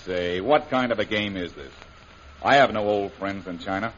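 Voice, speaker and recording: An actor playing a captive jungle adventurer in 1930s radio style, gruff and clipped. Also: male voice, lowish voice, normal speed, a bit bright, lightly acted. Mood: defiant. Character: defiant, bitter male jungle adventurer held prisoner. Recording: muffled, hissy old radio recording.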